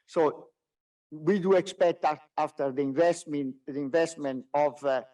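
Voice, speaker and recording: A man's voice: on the high side, steady tone, unhurried, mellow. A man who is a non-native English speaker gives a business results presentation as a company executive, hesitating often and repeating words. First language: not English. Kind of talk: business presentation on a conference call. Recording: distant mic, conference call audio.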